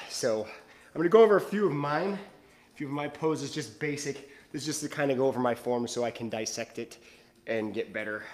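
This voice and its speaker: Rambling, laid-back male fitness vlogger. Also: medium pitch. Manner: rambling, hesitant, many fillers and restarts. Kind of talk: casual vlog chat to viewers.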